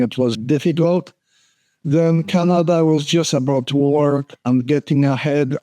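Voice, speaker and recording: Slow-speaking older Polish man. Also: on the high side, warm tone, dry recording. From Poland. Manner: earnest, unhurried, speaks in short restarting phrases. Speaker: guest photographer. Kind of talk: casual interview.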